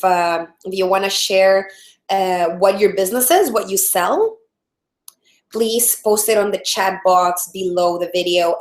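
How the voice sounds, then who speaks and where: Woman with a Salvadoran Spanish accent. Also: mid-range voice. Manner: friendly, many fillers and restarts. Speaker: product strategist, webinar host. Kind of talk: live webinar training. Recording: roomy echo.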